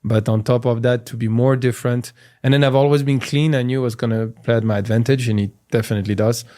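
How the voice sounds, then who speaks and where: A man's voice: mid-range voice, fast. A man with a French accent chats casually as a podcast guest. He tells stories with energy and acts out the voices of people he quotes. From France. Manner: animated storyteller, acts out quotes. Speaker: comedian guest.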